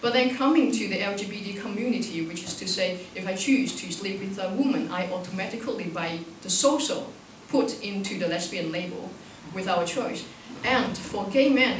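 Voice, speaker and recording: A woman speaks reflectively at a panel discussion in halting, non-native phrasing. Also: mid-range voice. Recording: echoey room, distant mic, faint noise.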